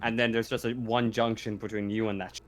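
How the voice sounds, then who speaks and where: A man acting as game master in a casual tabletop game, talking haltingly and often restarting his phrases. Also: fairly low pitch, flat delivery.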